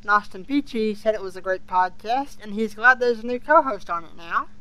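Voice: medium pitch, lively intonation, moderate pace, sharp tone, poor audio. A podcast co-host chatting casually in a somewhat hesitant, conversational way about a show they follow. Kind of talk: casual fan discussion of an audio drama series.

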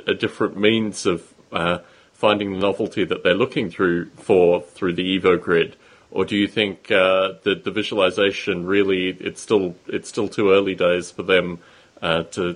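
A man hosting a podcast interview, asking long, measured questions. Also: sits low. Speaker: podcast host.

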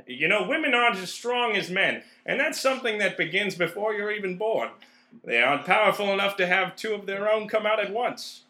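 A man plays a dim but sure-of-himself comic in an old radio double act. He is folksy and matter-of-fact, with a bright, swooping voice in an echoey room. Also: high-pitched, animated, brisk, voice pushed up. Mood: confident, explaining. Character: confidently wrong, dim vaudeville comedy partner.

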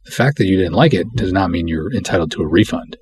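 A man's voice: low voice, animated, fast talker, a little mellow, slightly rough, no echo. A man hosting a solo audiocast as a film director, talking conversationally and reading reviews aloud with wry, mock-dramatic commentary.

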